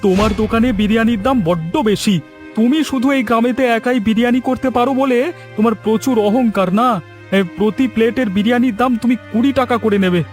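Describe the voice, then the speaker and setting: A man's voice: very high voice, fast talker, soft background music. A man voicing an argumentative younger villager in a cartoon, with a needling, complaining way of talking. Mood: resentful, challenging. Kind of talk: cartoon voice acting in a village comedy.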